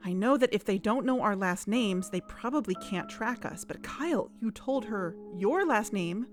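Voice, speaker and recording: Casting: actress as wary, confrontational young woman for audio drama, natural delivery, mid pitch, light music underneath. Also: animated, moderate pace, close to an everyday voice. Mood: firm, accusing. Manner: earnest, confrontational.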